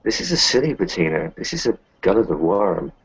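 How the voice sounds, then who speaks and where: Man, very low and gravelly, casual tabletop roleplayer speaking in character, dull recording. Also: big pitch swings, a little fast. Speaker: tabletop roleplaying game player.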